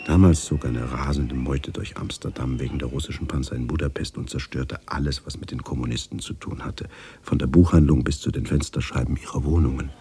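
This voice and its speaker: An actor playing a reflective man who recounts his past in a measured, subdued radio drama narration. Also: a bass voice. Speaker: narrator and lead character.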